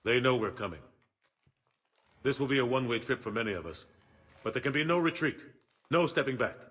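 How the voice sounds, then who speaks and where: An actor playing a battle-hardened, grave admiral in a video game, giving orders in a rough, authoritative voice. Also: male voice, medium pitch, lively intonation, brisk, fairly bright tone. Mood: stern, rousing. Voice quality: husky, rough. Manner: authoritative briefing, stern and emphatic. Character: grave, commanding male military admiral, battle-hardened leader. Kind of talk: video game dialogue acting. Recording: music playing behind the voice.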